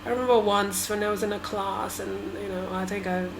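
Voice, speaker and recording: A woman from Sri Lanka whose first language is Tamil, talking through her thoughts in a halting, hesitant way with many restarts. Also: mid-range voice, steady tone, creaky, noisy background. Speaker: vlogger. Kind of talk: personal reflective monologue.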